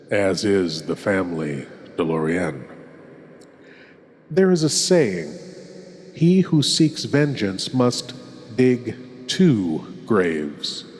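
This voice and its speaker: A man hosting a horror show with theatrical scripted narration, ominous and deliberate with dramatic pauses. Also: low voice, darkish tone. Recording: long cavernous reverb effect. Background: music.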